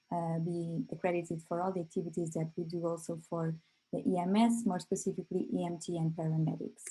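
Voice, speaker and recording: A woman giving an online webinar presentation over a video call, pausing often on filler sounds as she talks. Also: on the low side, mellow, rough recording. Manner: hesitant, frequent filler pauses. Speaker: company presenter.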